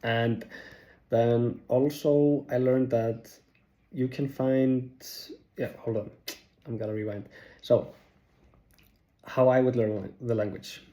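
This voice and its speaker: A man's voice: mid-range voice, steady tone, slow, pauses often, dark tone. A young man from Iceland, giving casual language-learning tips in a rambling, self-deprecating way. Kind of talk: casual talking-head tips video.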